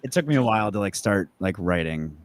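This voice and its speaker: A man's voice: on the low side, expressive, brisk. A young man from the United States, a musician chatting casually as an interview guest and reminiscing in a laid-back, rambling way. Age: young adult. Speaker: musician, band frontman being interviewed.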